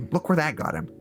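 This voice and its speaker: A man playing a bitter but loyal trapped companion in an audio drama. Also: fairly high pitch. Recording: music under the dialogue.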